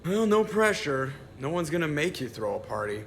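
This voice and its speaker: Higher-voiced wisecracking pilot guy. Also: sing-song, normal speed, a little hiss or hum. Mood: flippant. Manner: sarcastic, casual, flippant. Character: wisecracking, irreverent young male starship pilot.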